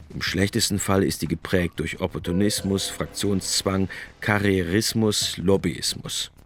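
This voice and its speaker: Low-voiced man reading reflective commentary. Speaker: narrator, essayist's reader. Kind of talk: scripted documentary commentary.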